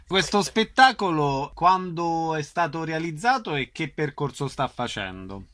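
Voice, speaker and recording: A man's voice: on the high side, expressive, measured pace, fairly bright tone. A man working as a radio interviewer, putting brief, orderly questions to a guest.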